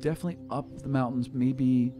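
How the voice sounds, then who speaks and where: A man's voice: medium pitch, fairly even intonation, brisk, warm tone, faint music behind. A man working as a game master, improvising the narration of a tabletop roleplaying game in a casual, agreeable, conversational way.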